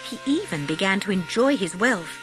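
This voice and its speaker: A woman's voice: mid-range voice, very animated, moderate pace, fairly bright tone. A woman narrating a children's audiobook as dramatic, scripted storytelling. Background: music.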